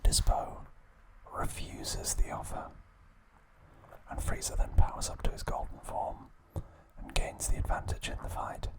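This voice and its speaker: A man hosting an ASMR video, recapping in a soft, unvoiced whisper. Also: measured pace, low-quality recording. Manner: brief aside to his cat. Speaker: ASMR host.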